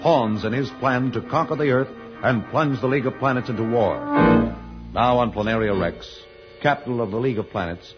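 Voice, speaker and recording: A man's voice: mid-range voice, a little fast, loud music behind the voice. A man narrating a vintage radio serial as announcer, in a dramatic, grandiose manner. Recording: dull old radio recording.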